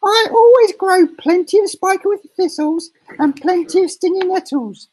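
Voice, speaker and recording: A man voicing a nasty, spiteful woman gardener from a storybook in a shrill, emphatic way. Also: normal speed, a little mellow, rough recording. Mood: spiteful glee.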